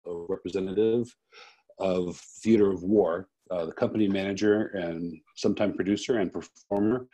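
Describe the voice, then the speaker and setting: A man hosting an unscripted interview, speaking haltingly and rephrasing his questions as he goes. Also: deep voice, steady tone, warm tone, muffled recording. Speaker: interviewer and festival host.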